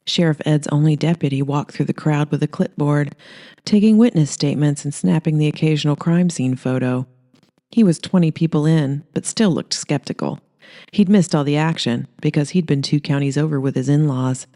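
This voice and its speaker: Mellow-voiced audiobook narrator. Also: mid-range voice, normal speed. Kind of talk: scripted audiobook narration of comedic horror fiction.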